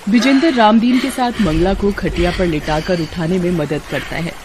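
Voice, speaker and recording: A woman's voice: on the low side, moderate pace, background noise. A woman narrating a scripted moral story as a storyteller.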